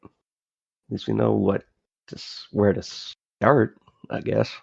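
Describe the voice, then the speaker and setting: Low male voice, gravelly, husky, casual roleplay talk, dull recording. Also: level tone, takes it slowly. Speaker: tabletop game player. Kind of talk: casual in-character improvised conversation. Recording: dull, lo-fi microphone sound.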